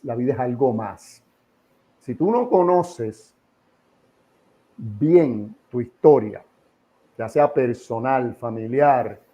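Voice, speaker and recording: A muffled, lo-fi recording of a mature Puerto Rican man giving his opinions in an interview. He lectures informally and emphatically, repeating key phrases and posing rhetorical questions in a medium-pitched voice. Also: warm tone. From Puerto Rico. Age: middle-aged or older. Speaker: interview guest, association president.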